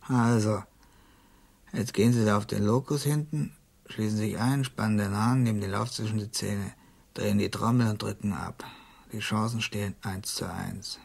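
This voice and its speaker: An actor playing a brash, slangy tempter who pushes a deadly deal. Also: male voice, fairly low pitch, fairly even intonation, moderate pace, creaky, a little mellow, husky. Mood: menacing. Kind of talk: radio play acting.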